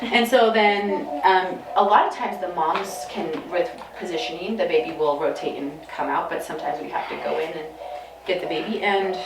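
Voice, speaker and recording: A woman with a soft, gentle voice casually explaining and telling stories to a group as a midwife. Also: lowish voice, normal speed. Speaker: midwife leading a group prenatal session. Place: midwife care space with group gathered. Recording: echoey, noisy room, distant mic.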